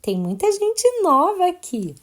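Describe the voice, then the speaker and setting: A Brazilian woman voicing a cheerful, gentle lady owl schoolteacher in a children's story, kindly and reassuring. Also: extremely high pitch, wildly swooping pitch, a little slow, pitched above the performer's own voice, exaggerated voice. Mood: kindly, welcoming. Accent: Brazilian Portuguese.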